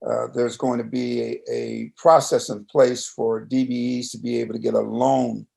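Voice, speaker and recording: A man with a strongly gravelly, fry-laden voice hosts a panel conversation. His pitch is average, and he works through questions in a halting, circling way. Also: level tone, mellow. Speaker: discussion host, moderator.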